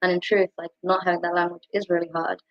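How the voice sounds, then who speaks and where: Muffled, even-toned young British woman. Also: fairly low pitch, fast, no echo. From United Kingdom. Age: 20s. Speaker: panellist. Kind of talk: reflective panel discussion, personal sharing. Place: dry room on a video call. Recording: muffled lo-fi video call audio.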